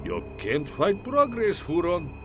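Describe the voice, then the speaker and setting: A man's voice: a little high, wildly swooping pitch, measured pace, over music. An actor playing a grandiose, gloating video game villain, theatrical, pompous and condescending in the way he monologues. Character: gloating, grandiose male villain with fiendish schemes. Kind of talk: video game cutscene acting.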